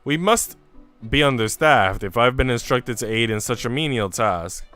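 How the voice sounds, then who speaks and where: Guy doing a posh proud maid woman in a story game, smooth, formal, faint music. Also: mid-range voice, animated, moderate pace, a bit bright, natural voice. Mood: peeved. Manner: formal, arch. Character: proud, elegant, aloof housemaid woman.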